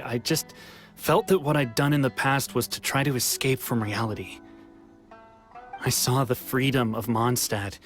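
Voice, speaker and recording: A man's voice: mid-range voice, natural voice. An actor playing a weary young man back from exile in a video game, talking in an earnest, wistful way with sighs. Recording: game soundtrack music faint behind.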